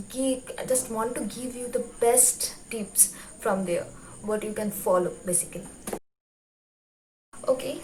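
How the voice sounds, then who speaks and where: A young woman from West Bengal with a Bengali Indian accent, a native Bengali speaker, gives informal advice to viewers as a vlog host, often repeating and rephrasing herself. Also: on the high side. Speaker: YouTube host. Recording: roomy, distant mic with faint noise.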